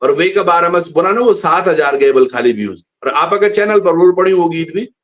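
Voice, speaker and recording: A man's voice: on the high side, animated, brisk. This is a male host with a somewhat raised voice. He asks his guest long, searching questions in a casual interview. The audio is dull and lo-fi, like a live stream.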